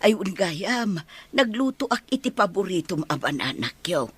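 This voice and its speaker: A woman's voice: medium pitch, big pitch swings, a little slow, breathy edge, full character voice. A woman playing a caring, fretful older mother in an Ilocano radio drama, with an Ilocano accent. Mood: gentle, reassuring.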